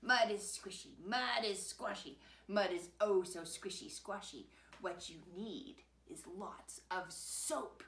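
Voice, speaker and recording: A woman voicing a fussy fuddy-duddy father pig from a storybook, chiding deliberately. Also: mid-range voice, a bit bright, echoey room.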